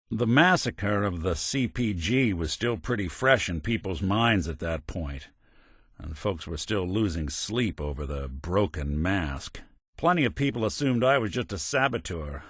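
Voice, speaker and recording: World-weary old robot gumshoe for a video game, low dry studio sound, wry hard-boiled talk. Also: male voice, a bit bright, slightly rough, no room sound, exaggerated voice. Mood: somber, reflective. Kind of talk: video game character dialogue.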